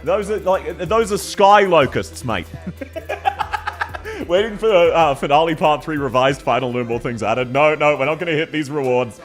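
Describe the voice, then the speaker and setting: A man's voice: on the high side, sing-song, normal speed, soft background music. An Australian man streaming live in casual chat, laughing often and thanking viewers one by one. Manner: giggly, thanks viewers warmly.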